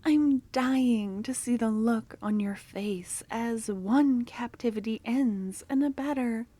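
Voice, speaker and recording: A young woman voicing an obsessive, possessive female cultist in an ASMR roleplay. She talks in a soft, close murmur that drops into whispers, and her tone is doting and unsettling. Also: a little high, slow, no room sound. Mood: urgent, hopeful.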